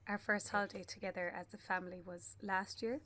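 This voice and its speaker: An actress with an Irish accent playing a grieving young mother in a radio play, speaking haltingly in a naturalistic style. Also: mid-range voice, steady tone, a crowd in the background, natural voice. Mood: fond, amused. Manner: halting, with pauses and repeated phrases. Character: grieving young bereaved mother, anguished and stubborn.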